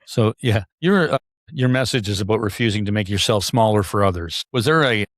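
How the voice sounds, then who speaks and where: Very deep-voiced woman host. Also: animated, a little fast, no echo, studio quality. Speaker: podcast host. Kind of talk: casual interview questions.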